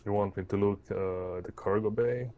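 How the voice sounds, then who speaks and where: A man's voice: fairly low pitch, fairly even intonation, moderate pace, warm tone. A man playing a tabletop game, talking casually and tentatively as he asks questions.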